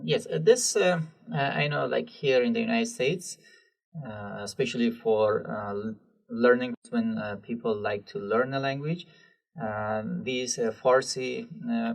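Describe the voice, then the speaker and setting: A man's voice: fairly low pitch, vocal fry, far from the mic, poor audio. A man from Afghanistan, a guest language expert in a podcast interview, speaking hesitantly with many fillers. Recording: voice from off the mic.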